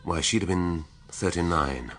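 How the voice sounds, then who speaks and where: An actor playing a wry, brooding middle-aged English police detective in a radio drama, dry and thoughtful. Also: male voice, deep voice, a little slow, fairly bright tone, soft background music. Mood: eager, teasing. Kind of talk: naturalistic radio drama acting.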